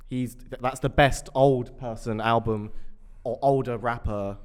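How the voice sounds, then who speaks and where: A man chatting casually as a guest, relaxed and rambling with frequent restarts. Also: medium pitch, measured pace, clean tone. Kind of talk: casual chat.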